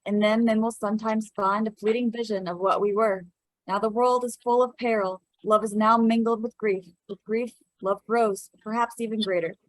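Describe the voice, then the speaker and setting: A woman's voice: mid-range voice, steady tone, normal speed, close to an everyday voice, rough recording. A woman playing a serene, sorrowful elf queen in a fantasy table read, lyrical and solemn. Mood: wistful, solemn.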